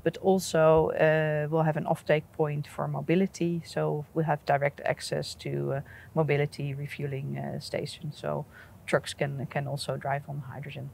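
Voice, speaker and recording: A middle-aged woman speaking in an outdoor interview, halting with many restarts and easy laughs, on a lapel mic. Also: sits low, little pitch movement, creaky, darkish tone, slight background noise. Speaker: energy company representative. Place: outdoors at an industrial port site.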